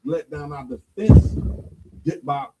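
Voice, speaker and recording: A man's voice: extremely high pitch, very animated, slow, creaky, muffled recording, over a noisy crowd. A man hosting a streamed talk show, preaching emphatically and prompting the others with rhetorical questions. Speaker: podcast host leading a religious discussion.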